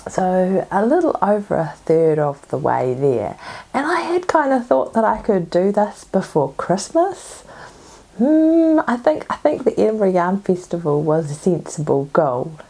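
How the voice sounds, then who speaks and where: A woman with a cold and a husky voice, chatting casually as host of her own podcast. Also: medium pitch, very animated, a little slow. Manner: chatty, rambling, soft asides. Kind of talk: casual chat. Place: home.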